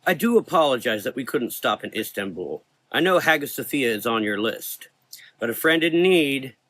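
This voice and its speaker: Man playing a wry middle-aged gentleman, bright-voiced. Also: mid-range voice, sing-song, normal speed, no echo, low-quality recording. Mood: apologetic. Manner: courteous, wry. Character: middle-aged, unassuming, teasing gentleman vigilante.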